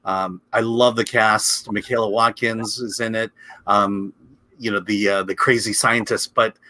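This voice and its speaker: A man's voice: lowish voice, level tone, measured pace, sharp tone, no echo. A man chatting casually as a film critic, speaking hesitantly and often repeating his words as he reviews.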